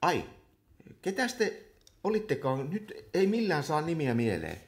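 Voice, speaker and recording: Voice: mid-range voice, huge pitch swings. An actor in a comic radio play playing an exasperated meeting chairperson who scolds delegates like a hesitant nursery teacher. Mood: flustered, patient.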